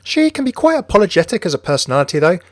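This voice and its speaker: A man's voice: high voice, very animated, rapid-fire, no room sound, studio quality. A man presenting a scripted video narration about a game character. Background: music.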